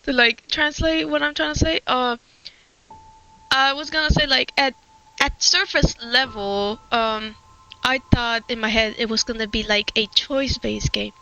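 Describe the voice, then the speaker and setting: A woman's voice: a little high, fairly even intonation, unhurried, creaky, sharp tone, lo-fi sound. A young woman from Puerto Rico with a Puerto Rican Spanish accent, a native Spanish speaker, rambling casually through game commentary with lots of fillers. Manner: rambling, many fillers and restarts. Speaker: game streamer. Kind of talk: casual let's-play commentary. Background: game music.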